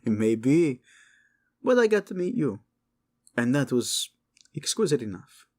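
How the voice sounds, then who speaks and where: A man's voice: mid-range voice, big pitch swings, a little slow, warm tone. A man voicing a sly, playful devil of a thief who flirts and jokes in a fantasy audio drama. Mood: flirtatious. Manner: teasing, cheeky.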